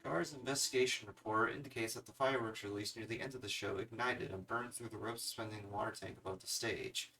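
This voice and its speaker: Man streaming a game, gravelly bright voice. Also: on the low side, steady tone, fast talker, hardly any pauses. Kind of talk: casual game commentary and reading on-screen text aloud. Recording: slightly distant mic, game music behind. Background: video game music.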